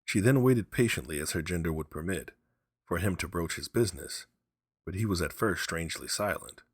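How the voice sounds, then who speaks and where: Deep, husky male storyteller. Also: expressive, a little fast, dry recording, clean studio sound. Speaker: story reader. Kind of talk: dramatic scripted storytelling narration.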